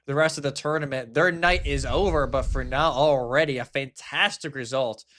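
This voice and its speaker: A man's voice: mid-range voice, normal speed, a bit bright. A man giving live esports commentary and analysis in a conversational, explanatory way.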